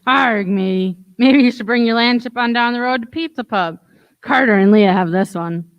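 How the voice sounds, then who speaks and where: A woman's voice: a little high, moderate pace, dull audio. A woman announcer giving a scripted show introduction in playful pirate talk.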